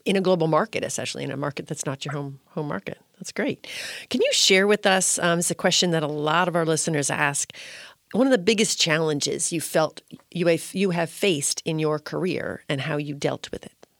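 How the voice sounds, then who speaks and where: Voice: mid-range voice, vocal fry, a bit bright, no echo, studio quality. A podcast host interviewing a guest in an encouraging, question-led manner.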